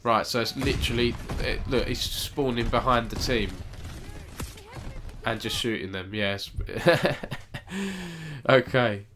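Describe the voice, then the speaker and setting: A man's voice: medium pitch, steady tone, slow, pauses often, a bit bright, a little hiss or hum. A man with a cold, sounding nasal as he hosts a rambling, self-correcting live-stream esports news roundup and chats with viewers.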